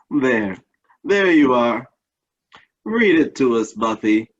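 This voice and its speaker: An actor playing an affectionate father in an old-time radio drama, with a husky voice. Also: medium pitch, big pitch swings, slow pace, muffled recording, exaggerated voice. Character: affectionate father, home late on Christmas Eve.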